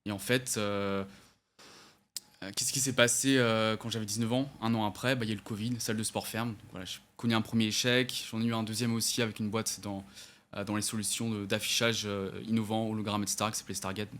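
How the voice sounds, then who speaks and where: A man's voice: on the low side, flat delivery, fast talker, fairly bright tone. A man in his twenties, raised in the United States and then France, answering interview questions as an entrepreneur, hesitant and full of fillers. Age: 20s. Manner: hesitant, many fillers and restarts, self-corrects. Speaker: entrepreneur guest.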